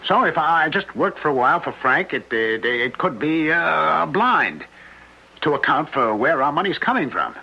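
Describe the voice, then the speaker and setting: An actor playing an anxious, cautious husband who stammers and hesitates in a radio mystery drama. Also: male voice, on the high side, very animated, normal speed, creaky, slightly rough, full character voice. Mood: defensive, stalling. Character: anxious, cautious husband, a failed painter hiding guilt. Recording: dull lo-fi radio recording with hiss.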